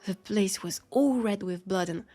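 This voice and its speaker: Husky French-accented woman as a vampire detective. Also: medium pitch, mellow. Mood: nostalgic, wry. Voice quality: husky, creaky, breathy. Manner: unhurried, conversational, with pauses and sighs. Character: centuries-old female vampire detective, wry, playful, battle-weary. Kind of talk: intimate first-person audio roleplay.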